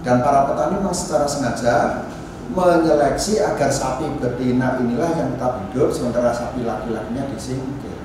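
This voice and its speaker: Heard from a distance in a very echoey room with clear background noise, a man lectures. He explains ideas informally and deliberately, punctuating his phrases with short check-in tags at an average pitch. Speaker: university anthropology lecturer.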